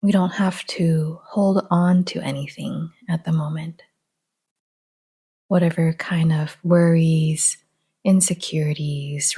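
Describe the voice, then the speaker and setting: A woman guiding a relaxation meditation in a soft, half-whispered, breathy voice, calm and gentle, with long pauses. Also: sits low. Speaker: meditation guide.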